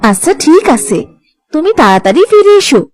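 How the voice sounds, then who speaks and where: A woman's voice: high-pitched, big pitch swings, a little fast, dry recording. A woman playing a dutiful, chatty young village daughter-in-law in homely cartoon dialogue.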